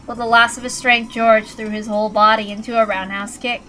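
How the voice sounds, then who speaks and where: A woman's voice: a little high, level tone, fairly quick, pushed and bright, slight background noise, low-quality recording. A woman reading a visual novel aloud as narrator, in a dramatic storytelling style. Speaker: narrator and reader. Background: faint background music.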